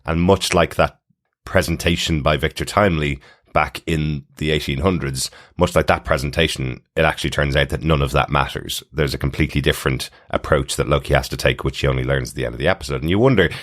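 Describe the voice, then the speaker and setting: A man's voice: extremely low pitch, quick pace, a bit bright. A man co-hosting a podcast, talking conversationally through a television episode. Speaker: podcast co-host. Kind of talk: TV recap podcast discussion.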